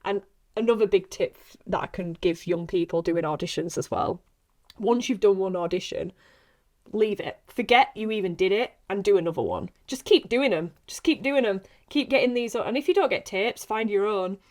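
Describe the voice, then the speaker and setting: A woman's voice: medium pitch, moderate pace. A woman actress in a casual podcast chat, earnest and encouraging, often repeating herself for emphasis. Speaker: actress guest.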